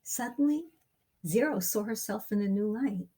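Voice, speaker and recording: A woman reading a picture book aloud as a storyteller, with expressive, deliberate and dramatic phrasing for young listeners. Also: medium pitch, husky. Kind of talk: expressive children's read-aloud narration.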